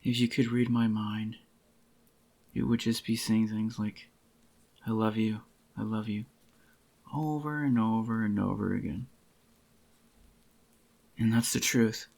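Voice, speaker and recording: A man acts out an obsessively devoted boyfriend in an ASMR roleplay. His voice is lowish and thick with creak, groggy and soft-spoken. He keeps an even, narrow melody as he murmurs tender, possessive endearments up close. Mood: obsessive devotion. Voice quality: groggy, gravelly. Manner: tender, slow reassuring endearments to a lover.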